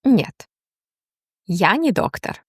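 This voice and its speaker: Woman language teacher, extremely slow pace, lively intonation, dry close sound. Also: medium pitch, breathy edge, dry recording. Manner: slow, deliberate, pauses between phrases. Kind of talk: slow, clearly spoken language drill.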